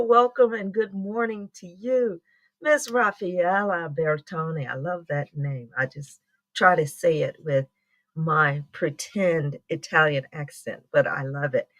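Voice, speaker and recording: A woman's voice: medium pitch, a bit bright, poor audio. A middle-aged woman talks her way through a casual morning livestream in a rambling, chatty manner. She greets viewers by name and breaks into laughter often. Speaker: livestream host.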